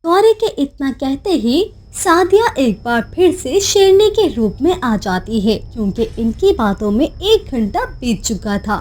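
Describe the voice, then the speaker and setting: A woman narrating a scripted animated moral story. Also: sits high, unhurried, hardly any pauses, exaggerated voice.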